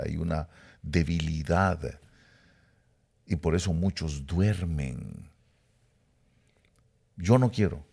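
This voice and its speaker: Low gravelly preacher. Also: male voice, a little mellow. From Costa Rica. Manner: emphatic preaching, rising then dropping to low asides. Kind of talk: sermon teaching.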